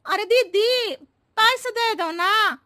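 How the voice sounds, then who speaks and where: A woman's voice: takes it slowly, no echo, clean studio sound, exaggerated voice. A woman voicing a scatterbrained, chatty housemaid who answers everything in roundabout riddles, in a cartoon story. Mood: pleading. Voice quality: very high, shrill and bright. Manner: roundabout, playful, cheeky pleading.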